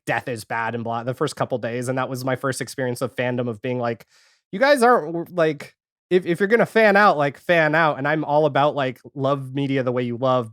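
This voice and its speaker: A man in a casual, animated pop-culture chat as a podcast guest, talking informally and acting out imagined remarks. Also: medium pitch, no echo, clean studio sound.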